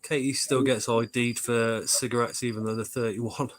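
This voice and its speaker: A man's voice: mid-range voice, fairly even intonation, normal speed. A man, hosting a live stream in casual chat, joking and swearing lightly as he reads out viewer comments. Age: 40s.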